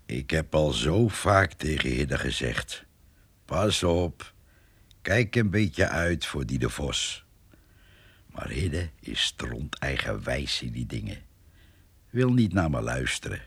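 Gravelly, drawling country rascal. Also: male voice, very deep voice, a bit bright, exaggerated voice. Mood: confiding, wary. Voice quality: gravelly, husky. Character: sly, jovial old male poacher, gossipy country rascal. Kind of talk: radio drama acting.

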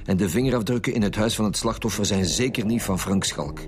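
An actor playing a stern, insistent police commissioner in a radio crime drama, speaking with a Flemish accent. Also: male voice, fairly low pitch, quick pace, lightly acted. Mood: matter-of-fact. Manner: blunt, insistent. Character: stern, pressing male police commissioner leading interrogations. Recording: dramatic music behind the voice.

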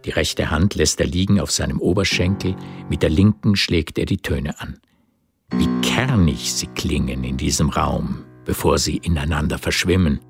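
A man's voice: a bass voice, normal speed, music in the background. A man narrating a literary radio drama in scripted, present-tense prose.

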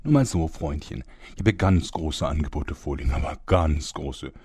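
Guy acting as grumbly pompous narrator dude, deep dark voice, bit husky, comedy audio play. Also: lively intonation, moderate pace, no echo, clean studio sound, full character voice. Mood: indignant, grumbling. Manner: theatrical, pompous, self-important. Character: grumbling, vain male narrator fed up with his job.

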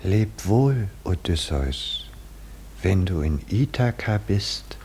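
Probing, melancholy onlooker: very low, husky, soft and deliberate, knowing, 1950s German radio drama. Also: male voice, expressive, heavily acted. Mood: resigned, wistful. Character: short-sighted, smiling, probing male observer, quietly insistent, melancholy.

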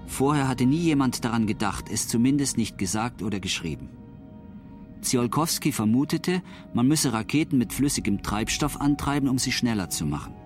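A man's voice: mid-range voice, expressive, moderate pace. Male documentary narrator over faint music.

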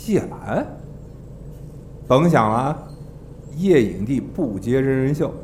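An actor playing a brisk, teasing talent manager in a Mandarin audio drama, chatty and exasperated in his banter. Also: medium pitch, sing-song, darkish tone, slight background noise. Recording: music behind the voice.

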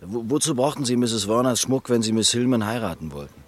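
An actor playing a wary impostor who poses as a writer, speaking curtly and dryly in a radio play. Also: male voice, mid-range voice, expressive, a little fast, lightly acted. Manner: curt and dry, few words. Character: wary American impostor posing as a writer, curt.

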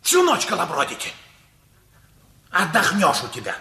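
A man's voice: sits high, wildly swooping pitch, moderate pace, pushed and bright, heavily acted. A male actor in a radio play, playing a grumpy man who grumbles querulously at his household. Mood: irritated. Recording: echoey room.

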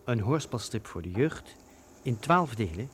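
A man reading a scripted radio play introduction as announcer, measured and declamatory, on a very noisy recording. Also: mid-range voice, very animated, a bit bright.

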